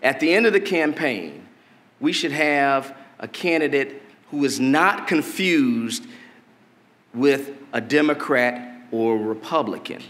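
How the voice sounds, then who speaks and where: A middle-aged man from Detroit, a political candidate delivering a campaign speech at a podium in a convention hall, deliberate and measured with emphatic rises. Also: medium pitch, lively intonation, a bit bright. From Detroit, Michigan. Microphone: podium mic. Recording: long hall reverb.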